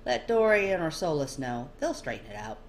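Woman reading as calm, easygoing man, faint noise. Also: sits low, normal speed. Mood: calm, friendly. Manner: calm, easy. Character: calm, easygoing, average-looking tall human man. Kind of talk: storybook character dialogue.

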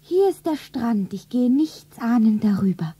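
An actress playing a lovestruck, anxious young shop girl in a romance radio play, breathy and often whispering, dreamy and sentimental. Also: a little high, expressive, measured pace, mellow, heavily acted, low-quality recording. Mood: troubled. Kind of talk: 1970s German radio play, pulp romance.

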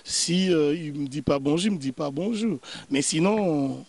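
An earnest man in his thirties, from Congo, speaks as a guest analyst in a sunny garden, answering into a handheld microphone held close. His voice sits a little higher than most men's, and he stumbles through repeated words while he gathers his thoughts. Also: unhurried, gravelly, darkish tone. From Democratic Republic of the Congo. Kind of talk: interview answers. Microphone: handheld mic held by interviewer.

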